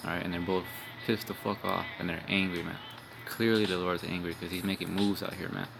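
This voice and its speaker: A man's voice: low-pitched, flat delivery, a little fast, gravelly. A young man giving an informal, rambling street-style sermon, cursing bluntly and tagging his lines with "man" and "all right". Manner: profane, blunt. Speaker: street preacher. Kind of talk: informal religious video sermon. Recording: music playing behind.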